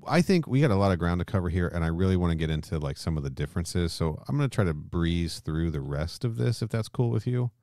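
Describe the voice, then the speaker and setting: Very deep-voiced man, casual podcast talk. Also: expressive, fast, few pauses, warm tone, dry recording, clean studio sound. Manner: emphatic, casually sweary. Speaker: podcast co-host. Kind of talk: casual discussion and film plot recap.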